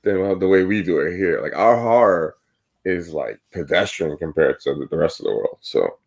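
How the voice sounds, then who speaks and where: A man with a very low voice chatting on a podcast. He rambles loosely, leans on "like" and "right?", and keeps restarting his sentences. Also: expressive, normal speed, vocal fry. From United States. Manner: rambling, filler-heavy, says "like" and "right?" often. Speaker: podcast co-host. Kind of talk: casual podcast chat.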